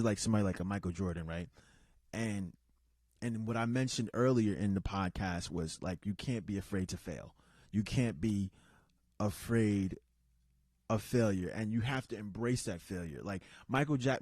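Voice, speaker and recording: A man hosting a casual, unscripted podcast, talking in a loose, rambling way, restarting sentences and giving shout-outs to listeners. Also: lowish voice, no room sound. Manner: rambling and conversational, with many restarts and asides. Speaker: podcast host.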